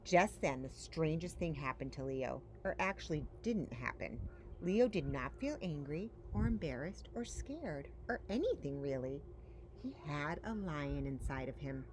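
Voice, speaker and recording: A woman's voice: sits low, expressive, a little slow. A woman reading a children's picture book aloud as a story-time reader, expressively and with dramatic emphasis. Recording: faint background noise.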